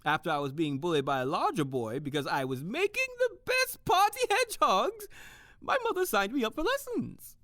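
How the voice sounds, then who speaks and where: A woman's voice: mid-range voice, very animated, normal speed, a bit bright, dry recording, clean studio sound. A woman playing an effusive, kindly European man who teaches martial arts in an audio drama, with slightly quirky phrasing. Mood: cheerful, proud. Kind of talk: audio drama character acting.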